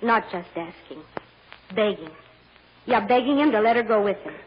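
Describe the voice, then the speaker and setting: A woman's voice: medium pitch, expressive, moderate pace, natural voice. Female hotel maid in the witness box, played by an actress: hesitant, deferential, plain-spoken, on a muffled, noisy vintage radio recording. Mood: insistent, earnest. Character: earnest, deferential hotel chambermaid testifying as a witness. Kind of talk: radio courtroom drama acting.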